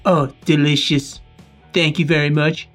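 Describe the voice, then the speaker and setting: Man playing a courteous, apologetic evil-twin robber, high-voiced. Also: flat delivery, a little slow, faint music behind, close to an everyday voice. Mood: politely menacing. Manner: over-polite, apologetic. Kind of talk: Roblox roleplay acting.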